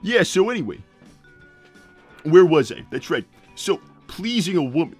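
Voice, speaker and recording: A man plays a short, crude cartoon hedgehog dad in improvised livestream banter. The voice is a little high and very lively in pitch, with halting, repetitive, matter-of-fact oversharing. Faint music plays behind it. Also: slightly rough, full character voice. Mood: casual, smug.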